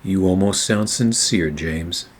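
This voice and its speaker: Low-voiced hard-boiled private eye played by a man. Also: slow pace, husky, exaggerated voice. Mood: wry, measured. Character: weary, wry hard-boiled male private eye narrating his case. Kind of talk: noir radio play narration and dialogue.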